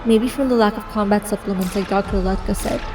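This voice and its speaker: A woman's voice: medium pitch, fairly even intonation, a little fast, far from the mic, music in the background, natural voice. An actress playing a disillusioned young soldier narrating her thoughts in an audio drama. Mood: shocked, anguished. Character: disillusioned young woman soldier on covert mission. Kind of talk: first-person audio drama inner narration.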